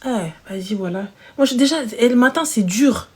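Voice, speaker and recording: A woman's voice: a little high, expressive, brisk, darkish tone, breathy edge. A single mother hosting a live stream, chatting casually and emphatically with slangy speech and repeated phrases.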